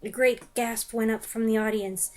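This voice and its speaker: A woman giving an expressive dramatic reading of a children's book, with joking asides. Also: on the high side, moderate pace. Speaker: reader.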